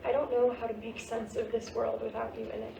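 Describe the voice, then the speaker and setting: Woman playing an earnest grieving teen girl in a stage drama, hesitant, highish voice, very echoey. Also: flat delivery, a little fast, gravelly. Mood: grieving, insistent. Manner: hesitant, emotionally open. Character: earnest, grieving, unsure teenage granddaughter. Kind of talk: staged play reading. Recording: distant, echoey, faintly noisy staged reading.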